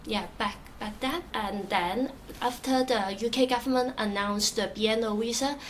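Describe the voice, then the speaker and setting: A young woman from Hong Kong, a native Cantonese speaker with a Hong Kong accent, telling her own story in answer to questions, soft-spoken and hesitant with many fillers and restarts. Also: fairly high pitch, moderate pace, a little hiss or hum. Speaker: interviewee.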